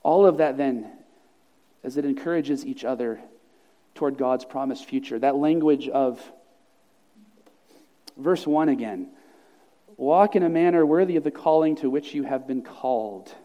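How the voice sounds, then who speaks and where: A man's voice: mid-range voice, a little slow, long pauses, dark tone, highs cut off. A man preaching an earnest, emphatic sermon with repeated phrases, then leading a closing prayer in a church sanctuary. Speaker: pastor. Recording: long reverb of a large hall.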